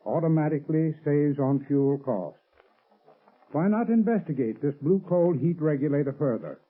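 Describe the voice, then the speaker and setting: A man giving a scripted 1930s radio advertising talk as an expert, measured, formal and instructive. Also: a little high. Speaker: sponsor's heating expert. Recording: tinny band-limited vintage radio recording.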